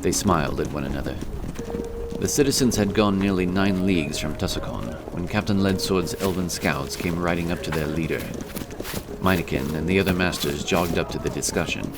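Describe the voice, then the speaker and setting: A man narrates a scripted fantasy tale in a very low voice. Music plays clearly beneath the reading, as in a produced audiobook. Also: fairly quick.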